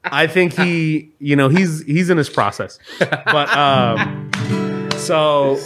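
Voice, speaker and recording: A man with a fairly high voice talks as a podcast co-host from Venezuela and Miami. His style is casual and comedic, and he repeats himself to drive a point home. Guitar music plays behind him. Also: slow, fairly bright tone. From Venezuela, raised in Miami.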